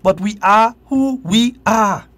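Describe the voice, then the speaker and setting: A man's voice: high voice, slow, a bit bright. A Grenadian man hosting a call-in radio talk show, talking with passion and emphasis. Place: radio studio.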